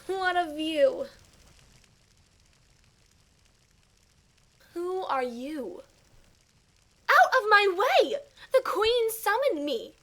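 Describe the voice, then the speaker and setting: A woman's voice: very high-pitched, sing-song, slow pace, bright, forceful tone, exaggerated voice. A woman voicing a vain, brash female bounty hunter with a smug drawl in a game fan dub. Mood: arrogant. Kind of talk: anime-style game fan dub acting. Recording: music behind the voice.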